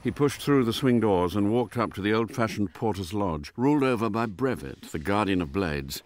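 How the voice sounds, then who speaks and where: An actor as a measured, literary narrator telling a spy story in a scripted radio drama. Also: male voice, lowish voice, lively intonation, darkish tone, lightly acted.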